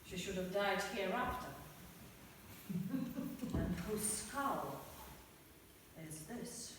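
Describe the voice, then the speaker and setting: A woman's voice: mid-range voice, animated, extremely slow. A woman playing a pompous, method-trained actor cast as Hamlet, speaking with a grand, declaiming manner. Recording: echoey hall, distant mic, faint hiss.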